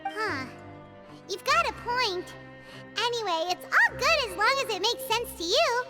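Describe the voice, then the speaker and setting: A woman's voice: very high voice, lively intonation, measured pace, loud music behind the voice. A woman voicing a tiny, perky, blunt childlike fairy companion in a video game. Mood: puzzled. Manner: speaks of herself in third person, exclaiming, childlike. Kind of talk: video game character voice acting.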